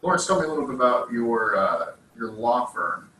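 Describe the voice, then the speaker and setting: A man hosting, talking fast with a bright, forceful edge and an upbeat manner. He sits far from the mic in an echoey room with faint background hiss. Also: mid-range voice, lively intonation. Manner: upbeat, quick, affirming. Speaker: career services host. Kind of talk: casual interview.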